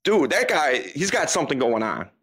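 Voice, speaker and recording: Bright-voiced, emphatic man. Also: fairly high pitch, sing-song, moderate pace, slightly rough. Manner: emphatic, loose, says "man" a lot. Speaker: podcast guest, dating coach. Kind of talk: casual interview chat.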